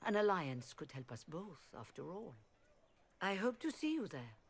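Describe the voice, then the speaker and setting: An actress playing a poised, diplomatic leader of rebel mages in a fantasy game, with a formal, courteous manner. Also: female voice, fairly low pitch, big pitch swings, normal speed, slightly rough, heavily acted. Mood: courteous, inviting.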